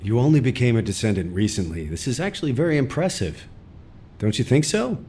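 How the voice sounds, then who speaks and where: A man's voice: mid-range voice, big pitch swings, brisk, darkish tone, a little hiss or hum. An actor voicing a patient, reassuring young male mentor in a game cutscene.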